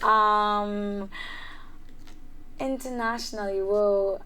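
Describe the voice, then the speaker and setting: A woman's voice: medium pitch, steady tone, very slow, sharp tone. A young woman from Trinidad and Tobago, a singer-songwriter answering interview questions in a chatty way, with frequent filler words.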